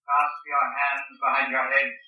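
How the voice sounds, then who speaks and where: An actor playing a cold, gloating enforcer who gives slow, taunting commands in an old-time radio drama. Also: male voice, medium pitch, completely flat delivery, lightly acted. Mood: cold, commanding. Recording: muffled, echoey old radio recording, far off.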